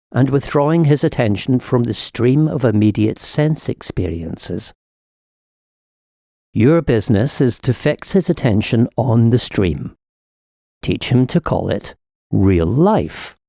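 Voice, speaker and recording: Man voicing an urbane elder devil, swooping intonation, mellow tone, pompous tutor manner, smug and sly, audiobook storytelling. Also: medium pitch, creaky, no echo, studio quality. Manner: pompous, sly, theatrical lecturing. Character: urbane senior demon, smugly coaching his young nephew in corruption. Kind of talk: dramatic audiobook reading of epistolary satire.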